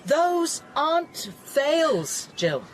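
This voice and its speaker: A woman's voice: sits high, sing-song, full character voice. Actress as lady scientist in a radio play, patient explainer, really varied intonation, slight noise. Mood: urgent. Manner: explanatory, measured, thoughtful. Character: rational, analytical scientist, patient and steadying. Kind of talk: audio drama acting.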